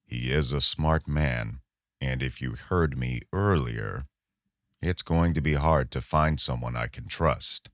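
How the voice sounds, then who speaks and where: A man acting a condescending, intimidating boss, very deep and slow. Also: dry recording, studio quality. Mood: falsely friendly. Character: menacing, smooth-talking boss recruiting with veiled threats. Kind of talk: audio drama acting.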